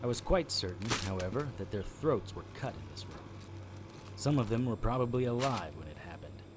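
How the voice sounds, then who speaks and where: Low-voiced hard-boiled occult detective, played by a man. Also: animated, a little fast, noisy background, close to an everyday voice. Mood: grim, tense. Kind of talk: scripted first-person narration, noir audio drama.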